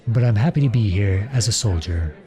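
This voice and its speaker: A man playing an earnest, lovestruck outlawed prince turned soldier in an audio roleplay drama, speaking softly and with measured pauses. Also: fairly low pitch, expressive, a faint crowd, lightly acted. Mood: solemn.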